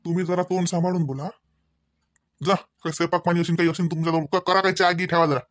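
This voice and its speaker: A man's voice: high-pitched, steady tone, fast, no room sound, clean studio sound, lightly acted. A man playing a proud, angry village husband in a comedy cartoon, scolding in a Varhadi Marathi accent. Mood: indignant. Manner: scolding, firing off rhetorical questions. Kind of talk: amateur comedy cartoon dialogue.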